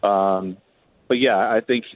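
Reporter guy phoning in, lowish voice, tinny echoey line, talking sports, kinda halting and repeating himself. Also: fairly even intonation. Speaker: hockey reporter, interview guest. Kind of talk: conversational sports analysis. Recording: thin phone line, roomy echo.

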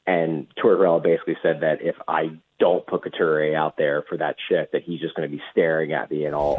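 A man speaking as a hockey reporter and radio guest, giving measured, conversational sports analysis at length over a phone line. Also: very deep voice, husky. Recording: muffled tinny phone line.

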